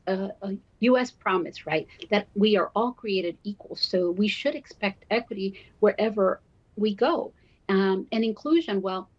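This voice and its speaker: Woman with dull, lo-fi sound, measured delivery. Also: mid-range voice. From born in Guatemala, raised in Miami, Florida. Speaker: university diversity officer, interview guest. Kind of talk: broadcast interview answers, explanatory.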